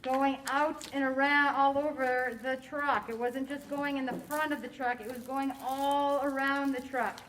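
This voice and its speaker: A woman teaching a science lesson to a class, quizzing her students in a slow, deliberate way. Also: sits high, level tone, fairly bright tone, a little hiss or hum.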